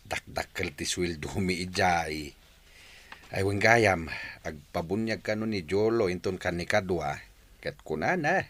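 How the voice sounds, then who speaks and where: A cheerful, humble older village husband played by a man in a radio comedy drama. The voice is low, with light music behind it. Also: a bit bright, full character voice. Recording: music under the voice.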